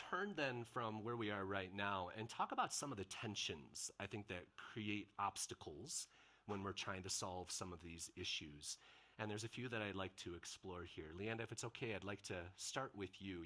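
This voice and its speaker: A man's voice: lowish voice, lively intonation, fairly quick, pushed and bright. A middle-aged man moderating a panel discussion in a conversational way, asking questions.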